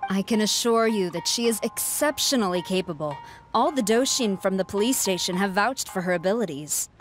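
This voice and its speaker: A woman voices a proud, dignified general from a video game. Her medium-pitched delivery is formal and self-assured, with some music behind. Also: expressive, fairly quick, close to an everyday voice. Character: dignified, self-important female tengu general.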